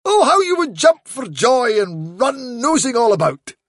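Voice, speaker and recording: A wily storybook trickster posing as a noble fairy messenger dog. The voice climbs high and swoops grandly, stretching words slowly. Every phrase is lofty, theatrical flattery. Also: male voice, dry recording, studio quality, heavily acted. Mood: grand, coaxing. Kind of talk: dramatic storybook reading.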